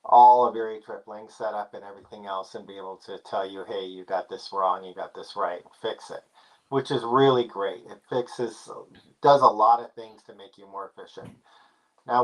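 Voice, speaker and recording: A man speaking as a guest expert in a casual interview, explaining things in a relaxed way with many fillers. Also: on the low side, moderate pace, poor audio.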